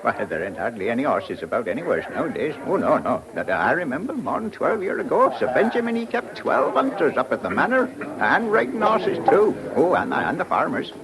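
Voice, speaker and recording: Swooping, gravelly old rustic Englishman. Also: a little high, huge pitch swings, brisk, hardly any pauses, a crowd in the background, exaggerated voice. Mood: rambling, amused. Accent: rural southern English. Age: older. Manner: rambling, chuckling country talk. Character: garrulous, puzzled old village horse slaughterman. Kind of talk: radio drama acting.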